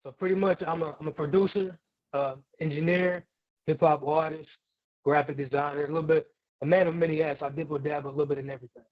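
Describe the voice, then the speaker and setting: Male guest, forties, slightly high voice, laid-back slangy storytelling, tinny phone-like audio. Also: steady tone, fast talker, no echo. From Germany and Missouri, USA. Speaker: guest, hip hop artist and producer. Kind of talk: casual interview chat.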